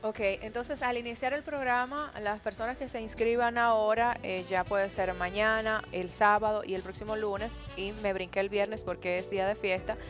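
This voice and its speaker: A middle-aged woman hosts a TV studio interview, speaking into a headset mic. She leads the talk briskly, with soft music underneath. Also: medium pitch, fairly even intonation. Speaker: TV show host. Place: TV studio set.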